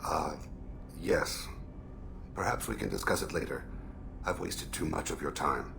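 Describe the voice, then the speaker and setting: A man playing a solemn, courteous alien assassin in a video game, with a gravelly voice and a measured, formal, pensive manner. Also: background noise, full character voice. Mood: reserved, polite. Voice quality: very deep, gravelly. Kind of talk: video game character acting.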